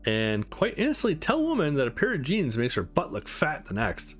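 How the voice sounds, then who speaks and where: A man voicing a measured, authoritative scientist in a visual novel, speaking in a precise, formal manner. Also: fairly high pitch, huge pitch swings, soft background music. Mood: clinical.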